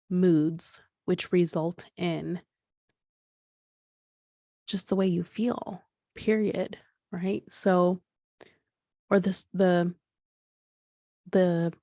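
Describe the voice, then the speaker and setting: Slow, gravelly-voiced woman in her thirties. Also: fairly low pitch, no echo, studio quality. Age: 30s. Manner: rambling, frequent restarts and fillers, soft laughs. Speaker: podcast host. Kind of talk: reflective solo podcast monologue.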